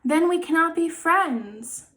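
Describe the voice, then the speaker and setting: A woman voicing an anxious, dramatic storybook elephant with a halting, overwrought delivery. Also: very high voice, animated, bright, forceful tone, exaggerated voice. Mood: dramatic despair. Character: anxious, dramatic, sneezy elephant, a devoted best friend.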